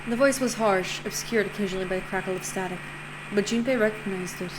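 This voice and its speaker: A woman's voice: mid-range voice, quick pace. Woman reading a visual novel aloud. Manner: lively narration with playful asides and self-corrections. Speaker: streamer. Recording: noisy home stream recording.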